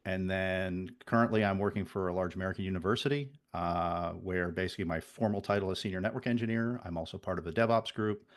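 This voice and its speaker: Low-voiced man rambling through stories. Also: fast talker, few pauses. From Netherlands. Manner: rambling, many restarts and self-deprecating asides. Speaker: podcast guest, network engineer. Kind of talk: casual interview chat.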